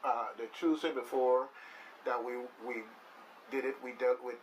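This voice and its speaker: A man's voice: mid-range voice, fairly even intonation, normal speed. A man hosting a radio talk show in a casual, rambling, emphatic way, often repeating phrases. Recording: slightly distant mic with faint noise.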